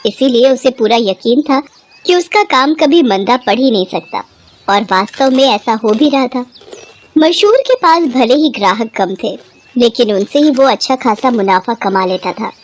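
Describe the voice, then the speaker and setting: A woman narrating a scripted moral story in an expressive storytelling manner. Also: a little high.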